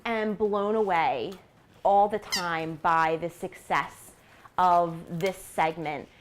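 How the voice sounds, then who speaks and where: A woman's voice: fairly low pitch, slow. A woman giving conversational interview answers as head of an organization. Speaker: animal rescue organization president, interviewed.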